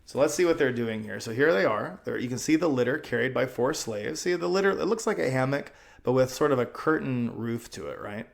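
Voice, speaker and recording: A man's voice: medium pitch, expressive, a little fast, creaky, hardly any pauses. A man narrating a children's storybook as a storyteller, reading aloud and explaining things to young listeners. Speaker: storyteller, channel host.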